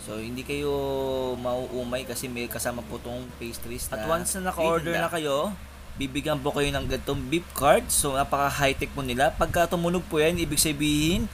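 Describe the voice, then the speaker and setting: A man's voice: medium pitch, steady tone, fairly quick. A young man giving a polite, chatty walkthrough of a coffee shop, filmed on a handheld phone. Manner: chatty, polite tour-guide narration. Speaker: vlogger. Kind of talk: casual walkthrough vlog. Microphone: handheld phone camera. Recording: noisy cafe with air conditioning.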